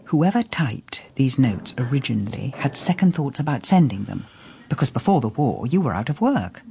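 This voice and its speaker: A poised English woman detective in middle age for a radio drama. Her delivery is probing and wry, with expressive swings of pitch. There is some noise behind her. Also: normal speed, gravelly, full character voice. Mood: gentle, insistent. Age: middle-aged. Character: shrewd, poised, sympathetic middle-aged lady detective.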